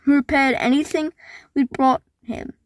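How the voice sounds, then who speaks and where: A woman's voice: sits high, fairly even intonation, normal speed, no echo, close to an everyday voice. A woman voicing a polite, fair-minded village leader in an amateur cartoon comic dub, formal and earnest. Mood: earnest, pleading.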